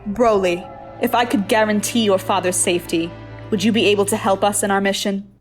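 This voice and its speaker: A woman's voice: medium pitch, fairly even intonation, fairly quick, faint music behind, lightly acted. A woman playing a determined, fierce warrior queen with a commanding manner in a superhero audio drama. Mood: earnest, persuasive.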